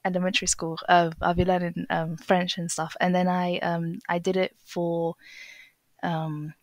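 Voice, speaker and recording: Young English woman, lower register, loose conversational guest, lots of ums and restarts. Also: little pitch movement, normal speed, no room sound. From England. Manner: hesitant, many fillers and restarts. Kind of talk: casual interview chat.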